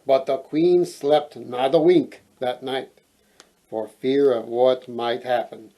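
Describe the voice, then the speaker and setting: A man reading a storybook aloud as host, expressive and playful, often wandering into casual chatty asides. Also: medium pitch, slow pace.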